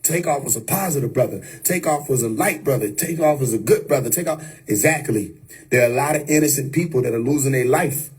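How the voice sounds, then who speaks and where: A man's voice: medium pitch, fairly quick, a little mellow, breathy edge. A man giving an unscripted, preachy livestream monologue, emphatic and repetitive. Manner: preachy, emphatic, repeats "you know what I mean". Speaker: livestream host. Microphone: phone or webcam on a live stream.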